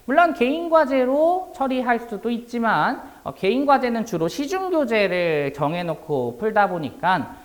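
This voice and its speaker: A male academy instructor walks listeners through a prepared class presentation. His voice is a little hoarse and worn, and his pitch moves widely as he explains things politely and formally. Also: very animated, moderate pace, hardly any pauses.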